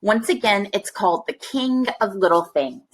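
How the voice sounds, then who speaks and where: A woman reading a picture book aloud to children, with expressive, deliberate phrasing. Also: mid-range voice, rough recording. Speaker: storybook reader. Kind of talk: read-aloud storytelling for children.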